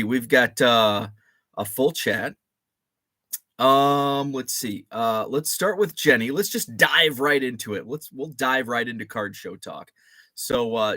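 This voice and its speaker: A man around forty hosting a casual live show, a bit groggy and deeper-voiced after a late night, rambling with lots of fillers and restarts. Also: fairly bright tone, dry recording, clean studio sound. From Illinois. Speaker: host.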